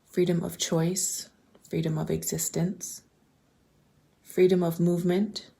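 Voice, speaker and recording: A woman leading a guided visualization, speaking softly and breathily in gentle, deliberate questions that often slip toward a whisper. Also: fairly low pitch, flat delivery, creaky, rough recording. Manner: gentle, deliberate, posing open questions with pauses. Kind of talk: slow guided meditation, reflective questions.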